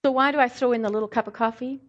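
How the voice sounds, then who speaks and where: A woman's voice: on the high side, fairly even intonation, racing, lo-fi sound. A middle-aged woman with a light Scottish and South African accent gives an informal sermon, reading scripture and telling stories with frequent ums and wry humor. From born in Scotland, raised in South Africa. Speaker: lay preacher, hand therapist. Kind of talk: conversational sermon with anecdotes.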